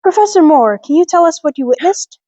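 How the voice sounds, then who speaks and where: Curious, formal TV interviewer played by a woman, high-pitched, easily impressed, close and dull sound. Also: lively intonation, a little fast, mellow, dry recording. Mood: formal, inquiring. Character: inquisitive, amazed news interviewer questioning a family.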